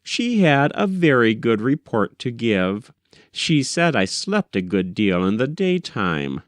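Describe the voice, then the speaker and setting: Man, audiobook reader, mid-pitched voice, literary narration, measured delivery, dry close sound. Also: sing-song, no room sound. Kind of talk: scripted literary narration of a first-person short story.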